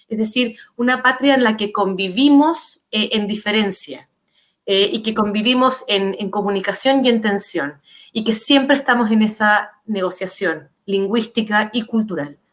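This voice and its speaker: A woman's voice: medium pitch, measured pace. A Chilean woman speaking as an author on a panel, thoughtful and searching, building her ideas through paired and repeated words. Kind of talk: reflective panel discussion.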